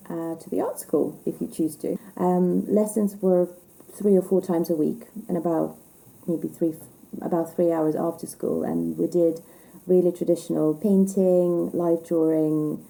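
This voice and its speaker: A young Estonian woman, a production designer, talks in English about her path into film. Her low voice carries a gravelly fry and a very dark, mellow tone. She speaks haltingly, with many pauses and fillers. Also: poor audio. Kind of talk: conversational interview.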